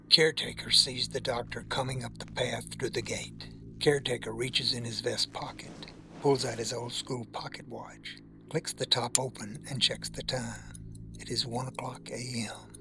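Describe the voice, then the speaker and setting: A man giving scripted narration for an audio drama in a measured, deliberate storytelling manner. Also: mid-range voice, fairly even intonation, creaky, background noise.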